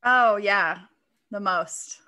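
A young woman chats as a podcast co-host. Her voice is bright and sharp at a medium pitch, and she speaks casually into a close mic. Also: very slow. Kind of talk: casual banter. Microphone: close podcast microphone, video call.

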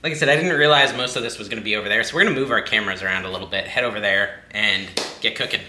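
A chatty, excitable man in his thirties talks through a recipe in his home kitchen, his voice slightly worn as he is losing it. The sound comes from a backup mic at a distance, roomy and echoey with a steady noisy hiss under it. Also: fairly low pitch, rapid-fire. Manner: chatty, self-deprecating, excitable. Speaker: cooking channel host. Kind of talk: casual cooking vlog. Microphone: backup camera mic at a distance.

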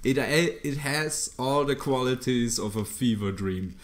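A man's voice: mid-range voice, measured pace. A man hosting a podcast in English, talkative and joking, laughing often. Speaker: podcast host. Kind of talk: casual chat.

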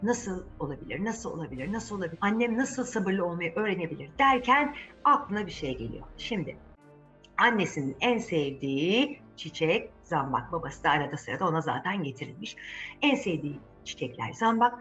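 A woman's voice: fairly low pitch, sing-song, a little fast, fairly bright tone. A woman telling a story to children in a conversational way, often putting questions to her listeners. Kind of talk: animated storytelling addressed to children. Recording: muffled audio with music behind.

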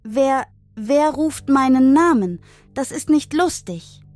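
A woman's voice: sits high, lively intonation, slow, a little mellow. A woman playing a curious, uneasy young woman exploring a haunted house in a horror audio drama. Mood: frightened. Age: young adult.

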